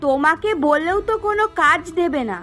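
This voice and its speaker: Woman acting a very high-voiced nagging young wife. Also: fairly quick, pushed and bright, soft background music. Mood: sulky, aggrieved. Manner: complaining, sarcastic. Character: young spoiled rich wife, sulky, nagging, demanding. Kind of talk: Bengali cartoon voice acting.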